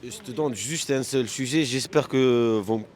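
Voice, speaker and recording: A man whose first language is Arabic, speaking French as a second language in a street debate, halting and insistent, often repeating words. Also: medium pitch, darkish tone. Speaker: street interviewee.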